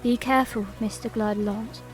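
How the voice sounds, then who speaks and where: A woman voicing a small, earnest halfling summoner in a fantasy audio drama, speaking softly. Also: on the high side, steady tone, moderate pace, over music, lightly acted. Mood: concerned.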